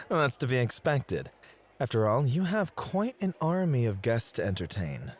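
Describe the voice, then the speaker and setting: A man plays a doting, courteous club host in an ASMR romance. He speaks slowly and sweetly, with a polite manner and an animated, swooping melody in an average male register. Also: mid-range voice. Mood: polite, teasing. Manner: sweet-talking, polite, soft laughs and sighs. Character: affectionate, courteous male club host, gently possessive, motivated rival.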